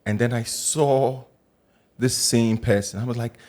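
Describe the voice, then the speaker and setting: A man's voice: medium pitch, steady tone, a little slow, a little mellow. A man, co-hosting a podcast in playful, rambling casual chat. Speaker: podcast co-host.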